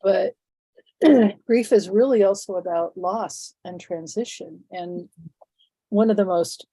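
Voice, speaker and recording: A woman in her sixties, phlegmy and sniffly from a head cold, speaks as an interview guest in a thoughtful, halting way full of fillers and restarts. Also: mid-range voice, dark tone, no room sound, rough recording. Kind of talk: reflective conversational interview.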